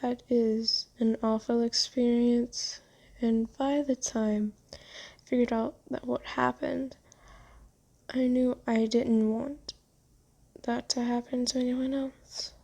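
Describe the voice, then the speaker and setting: A woman voicing a kind but possessive female vampire owner in an ASMR roleplay, soothing and genteel, coaxing gently in halting phrases. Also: a little high, little pitch movement, creaky, heavily acted. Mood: somber, confiding. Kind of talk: ASMR roleplay, scripted monologue.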